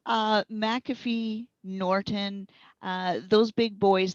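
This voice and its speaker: A woman with a slightly low voice gives informal expert answers in a careful, explanatory way. She is on a wireless headset, and the sound is dry and somewhat muffled, like a band-limited internet call. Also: creaky, dry recording. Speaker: speech recognition consultant and trainer being interviewed.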